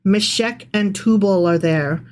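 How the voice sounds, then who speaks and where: A woman's voice: mid-range voice, unhurried, a little hiss or hum. A woman co-hosting a casual Bible discussion podcast, talking bluntly and irreverently, with easy swearing and some reading aloud. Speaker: podcast co-host.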